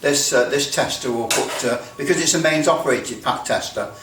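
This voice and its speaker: A British man giving a casual hands-on demonstration, halting as he thinks aloud. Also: medium pitch, fairly bright tone. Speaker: presenter demonstrating electrical testing. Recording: roomy, distant mic with background noise.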